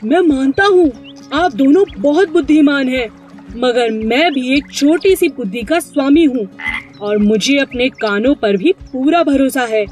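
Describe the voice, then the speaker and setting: A woman's voice: sits high, unhurried, a little mellow, faint music behind. A woman voicing an earnest, cautious little frog in a children's story. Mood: firm, humble.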